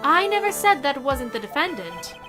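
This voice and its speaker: A woman voicing an arrogant young female prosecutor who speaks with imperious scorn, over loud game music. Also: sits high, big pitch swings, moderate pace. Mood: assertive. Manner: imperious, insulting, repeats "fool". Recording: game music playing loudly under voice.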